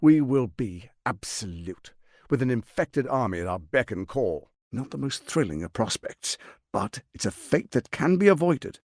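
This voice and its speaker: An actor playing a scheming, arrogant middle-aged tyrant lord in a video game, with a pompous, silky, menacing delivery. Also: male voice, mid-range voice, very animated, moderate pace, darkish tone, dry recording, clean studio sound. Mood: persuasive, grandiose. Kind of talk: theatrical video game voice acting.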